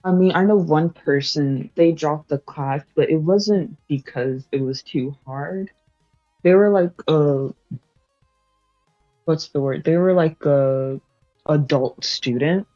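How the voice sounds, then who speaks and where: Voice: medium pitch, slow pace, warm tone, dry recording, lo-fi sound, soft background music. Rambling young streamer chatting while studying. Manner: rambling, self-correcting, laughs often.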